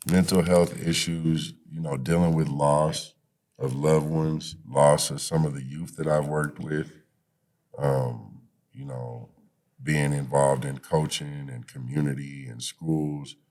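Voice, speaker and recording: A man's voice: very deep voice, darkish tone. A man speaking as a podcast guest and youth activist in a conversational, motivational way, encouraging and often saying "you know".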